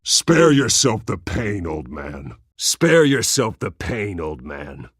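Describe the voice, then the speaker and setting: An actor playing a grim masked vigilante in a video game, terse and intimidating with a gravelly, husky voice. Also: male voice, fairly low pitch, very animated, slow, no echo, clean studio sound, full character voice. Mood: menacing calm. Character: grim masked male vigilante, menacing and controlled.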